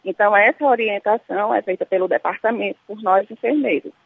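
A woman's voice: mid-range voice, brisk, creaky, husky, dry recording. Nurse, woman, Brazilian Portuguese accent, hesitant with fillers, tinny phone-line sound, dry. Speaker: nurse interviewed on radio. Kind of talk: on-site radio interview.

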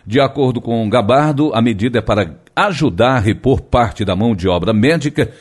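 A man's voice: medium pitch, expressive, fairly quick, slightly rough. A man with a Brazilian Portuguese accent presenting radio news and handing over to a reporter. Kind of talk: news reading.

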